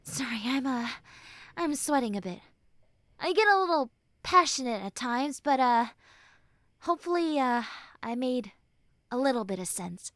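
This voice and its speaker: A woman playing a normally mean young tsundere who turns tender and caring, speaking haltingly and earnestly to the listener in an intimate roleplay. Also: high voice, animated, dry recording, studio quality. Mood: flustered, encouraging. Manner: halting, bashful, earnest, soft and breathy when consoling. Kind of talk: intimate roleplay audio drama, spoken to the listener.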